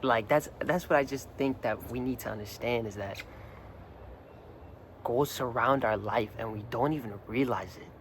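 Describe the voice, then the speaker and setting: A young man talks straight to his phone outdoors, a little hoarse, his earnest thoughts rambling and circling back on themselves. His voice sits at a middling pitch for a man. Faint traffic and outdoor ambience hum behind him. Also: moderate pace. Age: young adult. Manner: rambling, earnest, repeats words, many "like"s. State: slightly hoarse from singing the night before. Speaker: vlogger. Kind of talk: casual talk to camera. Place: outdoors, hot and sunny.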